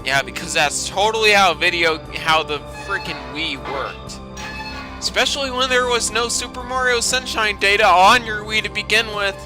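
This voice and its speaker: A man's voice: high-pitched, normal speed, low-quality recording. A man doing a dramatic storytime reading, breaking into sarcastic, profane and exclamatory mocking asides. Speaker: storytime narrator. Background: video game music.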